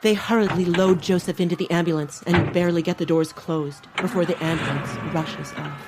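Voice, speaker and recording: A woman's voice: lowish voice, steady tone, fairly quick, heavy background noise. A woman delivering dramatic scripted narration for an audiobook. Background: music.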